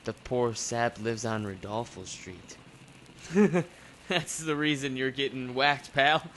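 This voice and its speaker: An actor playing a shady war veteran turned reluctant killer, slangy and evasive, in old time radio style. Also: male voice, medium pitch, slow pace, fairly bright tone, slight background noise. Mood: drunken, brooding.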